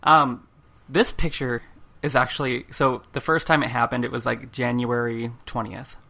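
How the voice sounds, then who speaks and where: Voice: medium pitch, flat delivery, moderate pace, creaky, pushed and bright. A podcast host chatting casually and telling personal anecdotes in an informal, giggly way.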